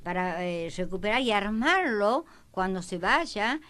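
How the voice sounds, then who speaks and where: A woman from northern Argentina with an Argentine accent, telling anecdotes conversationally in halting, self-correcting phrases. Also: mid-range voice, expressive, a bit bright, clean tone. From Tucumán, Argentina. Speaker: panelist.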